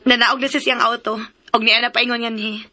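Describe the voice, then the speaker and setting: A woman's voice: on the high side, little pitch movement, brisk, heavy background noise, natural voice. A woman acting a sweet, pleading young grown daughter in a radio drama.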